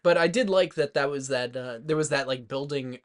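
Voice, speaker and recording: A man co-hosting a movie podcast, talking in a loose, informal way with lots of halting filler words as he retells things. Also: medium pitch. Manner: informal, heavy use of "like", halting, animated retelling. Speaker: podcast co-host. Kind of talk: rowdy casual movie chat.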